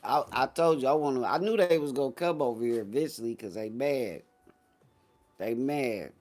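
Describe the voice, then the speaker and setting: A man's voice: mid-range voice, moderate pace, darkish tone. A man hosting a casual live stream, chatting with viewers in a slangy, boastful, teasing way.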